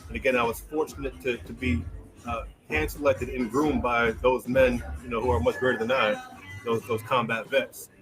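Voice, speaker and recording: A man's voice: medium pitch, fairly even intonation, moderate pace, over music, low-quality recording. A man from New York and New Jersey, a business owner answering interview questions earnestly, often repeating words and adding "right". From New York and New Jersey, USA.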